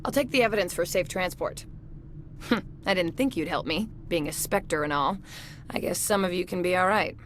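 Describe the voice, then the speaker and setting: A woman playing a wry, businesslike undercover investigator in a video game, dry and brisk. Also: lowish voice, a little hiss or hum, lightly acted. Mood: weary, relieved. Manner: dry, brisk, matter-of-fact. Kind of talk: scripted video game acting.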